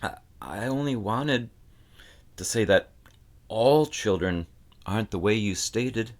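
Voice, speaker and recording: A man voicing a timid, bullied little boy who speaks softly and hesitantly in a storybook reading. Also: lowish voice, animated, slow. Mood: meek but defiant. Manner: soft, hesitant, stammering. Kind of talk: audiobook character acting.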